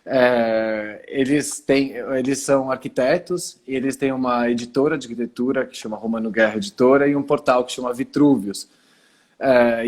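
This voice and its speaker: A man from Italy joins a video call through his phone and talks in a calm, explanatory way. His voice sits at an ordinary middle pitch. He often pauses briefly to collect his thoughts before going on. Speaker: guest, cultural center founder. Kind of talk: casual video call interview.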